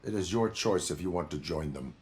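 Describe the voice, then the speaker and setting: An actor playing a stoic cyborg policeman in a video game, speaking in a stiff, formal deadpan. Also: low-pitched, fairly quick. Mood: stern, flat.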